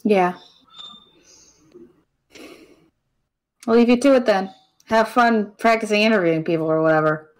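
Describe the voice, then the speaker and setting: A woman's voice: mid-range voice, fast, lightly acted, rough recording. A woman playing a brainy, caring and exasperated female friend in a conversational audio drama, wry and fretful. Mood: resigned, wry.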